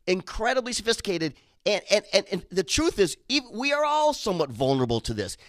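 A man's voice: sits high, very animated, a little fast, no room sound, studio quality. A man co-hosting a retirement finance radio show, talking conversationally with many "you know"s and "right?"s. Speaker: co-host, financial instructor.

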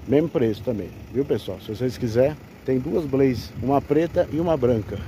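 Brazilian car salesman, slightly dark voice outdoors. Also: mid-range voice, moderate pace. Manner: informal, repetitive sales patter. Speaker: used car broker presenting a vehicle for sale. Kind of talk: casual sales walkaround video, addressing viewers. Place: outdoors at a roadside car fair. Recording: outdoor street noise, faint traffic.

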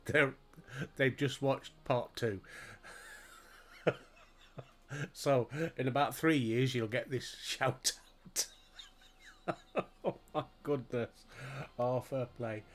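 A man's voice: medium pitch, steady tone, very slow, long pauses, slight background noise. An older man with a Yorkshire accent, chatting casually to himself and his viewers while playing a game, recorded on a headset mic at home. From Yorkshire, England. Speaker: gaming streamer. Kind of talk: relaxed gameplay commentary.

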